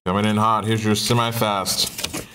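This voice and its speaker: A man's voice: on the low side, level tone, a little slow, noisy background. A man hosting a live-stream auction, repeating himself and hyping each item in an upbeat patter.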